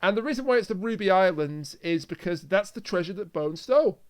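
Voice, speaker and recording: A man with a noticeably high-pitched voice talks as a podcast co-host. He recaps a story in a casual, conversational way, slipping into acted-out quotes as he retells it. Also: expressive, fairly quick, darkish tone, clean tone. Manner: acts out quoted lines while recapping. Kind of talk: casual episode recap and review.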